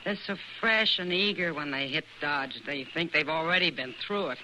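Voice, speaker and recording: A woman's voice: deep voice, moderate pace, natural voice. An actress playing a world-weary, frank saloon woman, wry and plain-spoken, in old-time radio Western style. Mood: pensive. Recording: noisy vintage radio recording.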